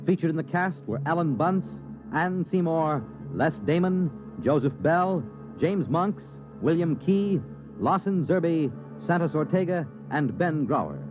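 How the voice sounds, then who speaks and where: A man's voice: a little high, lively intonation, a little slow. A male radio announcer with a formal, declamatory 1950s broadcast delivery. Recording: dull lo-fi 1950s radio with music.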